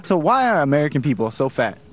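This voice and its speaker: A man's voice: on the high side, very animated, quick pace. A man working as a street interviewer, asking questions in a casual conversational style.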